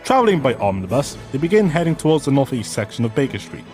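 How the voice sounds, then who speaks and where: A man acting as a snarky narrator for a comic radio play, telling the tale with theatrical flair. His pitch moves in lively swings and his tone is mocking, with music underneath. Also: quick pace, lightly acted. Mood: sardonic. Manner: sardonic, theatrical. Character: snarky male narrator who mocks the hero.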